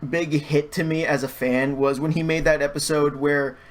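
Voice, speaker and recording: Higher-pitched man, stammering casual podcast chat. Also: steady tone, moderate pace. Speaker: podcast guest, online video creator and fan dubber.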